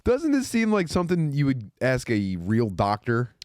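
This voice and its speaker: A man's voice: mid-range voice, wildly swooping pitch, normal speed, darkish tone, no echo, clean studio sound. A man joking in profane slang and reading old posts aloud in a casual way. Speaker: narrator in an audiobook session.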